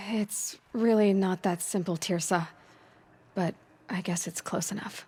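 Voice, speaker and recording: A husky, direct young female warrior. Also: mid-range voice, steady tone, a little slow, a little mellow. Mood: hesitant, subdued. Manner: direct, probing, restrained. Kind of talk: naturalistic video game acting. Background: music.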